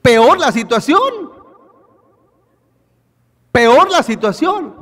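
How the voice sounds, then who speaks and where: Male pastor, very high voice, preaching, reverberant church hall. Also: very animated, drawn-out words, a bit bright. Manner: preaching, addresses listeners as brothers, rhetorical questions. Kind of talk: sermon. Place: church in Bakersfield, California.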